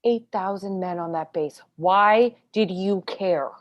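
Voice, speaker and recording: A woman's voice: medium pitch, slow pace, highs cut off, lightly acted. A woman acting an exasperated, combative military defense lawyer in a stage play read-through, pressing hard with sarcastic questioning. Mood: angry, insistent.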